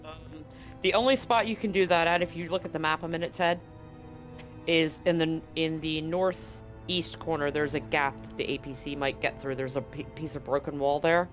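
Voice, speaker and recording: A man with a fairly high voice serves as game master. He explains rules and narrates a tabletop roleplaying scene over faint background music. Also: fairly even intonation, moderate pace, fairly bright tone.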